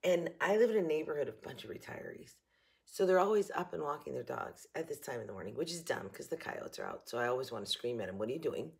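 A slightly hoarse woman in her fifties chatting casually to camera from her home kitchen, rambling and joking about herself as she hosts a shopping haul video. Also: low-pitched, expressive, fast talker. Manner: chatty, rambling, self-mocking. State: a little hoarse. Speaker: haul vlogger. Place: home kitchen, indoors, early morning.

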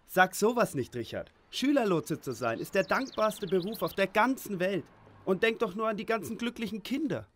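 Casting: male actor as goody-two-shoes comic radio-play hero, high animated voice, exclamatory, some background noise. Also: moderate pace. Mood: earnest, indignant. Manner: over-earnest, exclamatory. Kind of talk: comic audio drama acting.

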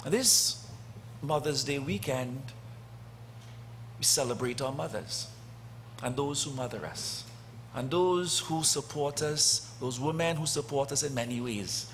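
A middle-aged priest preaching a sermon in a church, speaking deliberately with long pauses, swelling loud and then dropping soft, into a lectern microphone. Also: male voice, medium pitch, a little hiss or hum. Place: church sanctuary, indoors. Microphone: lectern gooseneck mic.

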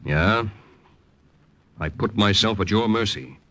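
An actor playing a proper, earnest young Allied spy, speaking and narrating in a measured, polite way in an old-time radio drama. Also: male voice, lowish voice, expressive, sharp tone, full character voice. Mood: sincere. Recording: vintage radio recording with faint music.

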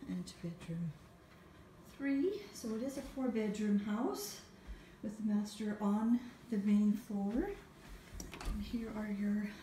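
A woman narrates a property tour at an unhurried pace, pausing often between short phrases. She speaks as a rental agent walking through an empty house. Her phone picks up a strong room echo and clear background noise. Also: medium pitch, mellow. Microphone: handheld phone behind the camera.

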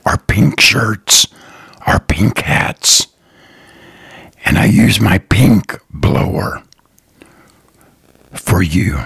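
A man hosting his own podcast, talking slowly and earnestly in a raspy, partly whispered voice. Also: low voice, expressive, fairly bright tone, dry recording, studio quality. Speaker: podcast host, lawn care business owner. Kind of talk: slow, earnest solo podcast talk.

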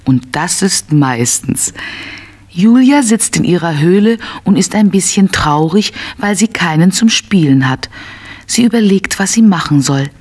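A woman narrating a scripted children's story as an expressive storyteller, in simple phrases. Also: lowish voice, a little slow, husky.

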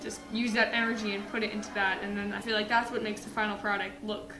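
A woman's voice: medium pitch, little pitch movement, quick pace, over music. A female stage cast member chatting casually in a group interview. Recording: echoey room.

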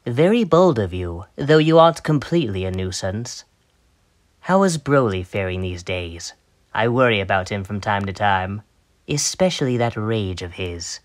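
A man's voice: lowish voice, animated, normal speed, natural voice. A man voicing a vain, sadistic alien tyrant from an anime, theatrical and mockingly polite as he taunts and gloats. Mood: cool, menacing. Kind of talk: anime villain voice demo, acted character lines.

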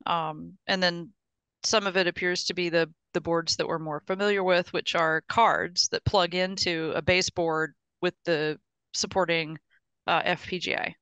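A woman's voice: on the low side, steady tone, normal speed, fairly bright tone, smooth, dry recording. A woman explaining hardware plans in a technical meeting over a video call, often restarting and repeating words as she thinks aloud. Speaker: engineering project lead in a video call.

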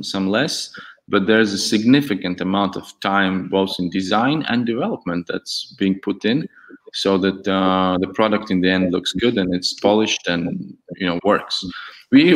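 A young man whose first language is Latvian talks in a very low voice over a headset on a video call. He speaks candidly and haltingly, restarting his sentences often. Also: fairly bright tone, low-quality recording. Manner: candid, hesitant, many restarts. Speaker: guest, e-learning template developer. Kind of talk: casual video call chat.

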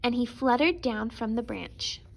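A woman's voice: a little high, measured pace, gravelly. A woman storyteller narrating a children's picture book expressively for preschoolers.

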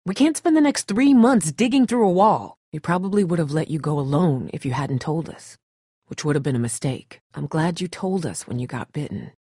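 A tough woman survivor in a dramatic video game, with a low, husky voice. She is blunt and no-nonsense yet caring, and her pitch moves widely as she speaks. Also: lively intonation, normal speed, mellow, no room sound, clean studio sound. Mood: tense, blunt, then earnest, exasperated.

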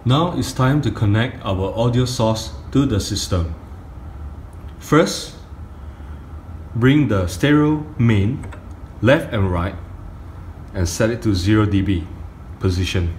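A middle-aged man giving a step-by-step tutorial on audio gear, speaking deliberately in short phrases with pauses. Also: fairly low pitch, expressive, a little mellow. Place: indoor carpeted room. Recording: faint room noise, phone camera audio.

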